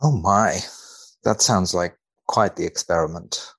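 A man with a very deep, gravelly voice speaks as a researcher guesting on a podcast. His wording is measured and a little formal, whether commenting or reading aloud, and the recording is dry with no room sound. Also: a bit bright, no room sound.

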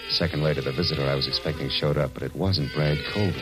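An American actor playing a tough, sardonic private detective in an old radio noir drama, with curt, slangy delivery. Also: male voice, a bass voice, fairly even intonation, fast, over music. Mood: wry narration. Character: hard-boiled, bullying male private detective, sardonic and tough. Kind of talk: 1940s-1950s radio noir acting and first-person narration.

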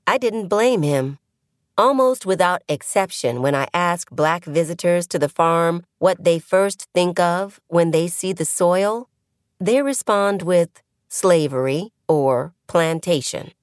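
Female audiobook reader, low register, measured phrasing, close dry sound, scripted essay narration. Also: no room sound. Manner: measured, deliberate phrasing with pauses.

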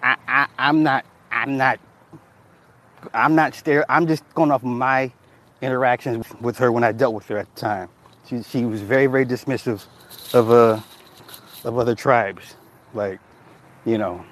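Guy just chillin on a stream, reading chat, cussing and laughing, talking at a normal pitch with a little noise behind him. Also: fairly even intonation, unhurried, pauses often, a bit bright. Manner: loose, profane storytelling, laughing at chat comments. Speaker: livestream host reading chat. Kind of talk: casual livestream chat.

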